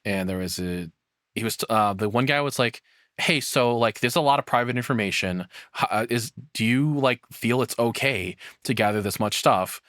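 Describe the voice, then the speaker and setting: A man's voice: lowish voice, a bit bright, dry recording, clean studio sound. A man giving casual, rambling reaction commentary as a streamer, swearing freely in an exasperated way.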